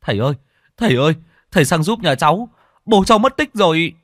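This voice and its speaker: A man's voice: a little high, animated, normal speed, a bit bright, no echo, studio quality, voice pushed up. A man voicing a panicked young village man who pleads breathlessly for help.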